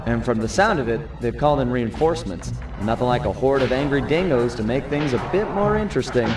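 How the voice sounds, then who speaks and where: A man's voice: medium pitch, expressive, fairly quick, natural voice. Guy playing a cool wry raccoon thief, cartoon game, echoey n far from mic, music behind. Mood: wry, musing. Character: suave male raccoon thief, confident, wry adventurer. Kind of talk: animated video game dialogue.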